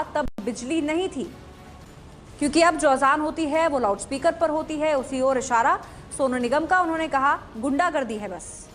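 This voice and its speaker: An Indian-accented woman presents a TV news report in a high voice. She reads as a news anchor does, with faint music running underneath. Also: a bit bright.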